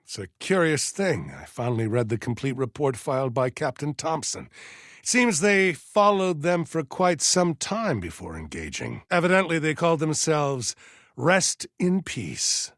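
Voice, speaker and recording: A man voicing a stern, aging militia colonel in a video game, formal and measured as he gives orders with deliberate pauses. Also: mid-range voice, a bit bright, dry recording, clean studio sound, heavily acted. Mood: grave, brooding. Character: stern aging militia colonel, dutiful, zealous, wary, slightly forgetful. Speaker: military commander giving orders. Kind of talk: scripted video game character dialogue.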